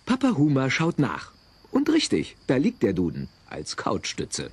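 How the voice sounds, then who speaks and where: A man's voice: medium pitch, wildly swooping pitch, a little slow, background noise. A man narrating a children's audio drama with theatrical, wry storytelling.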